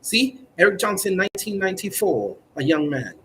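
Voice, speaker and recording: A man's voice: high voice, very animated, moderate pace, fairly bright tone, a little hiss or hum, low-quality recording. A man hosting a comedic mock newscast in a stilted, formal newsreader manner, drawing out his words. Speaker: mock news presenter on a livestream.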